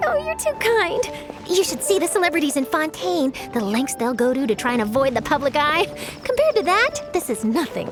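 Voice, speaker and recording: An eager young female reporter for a game. Her voice is very high and animated, with breezy confidence. Light music plays in the background. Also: fairly quick. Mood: pleased. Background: faint music.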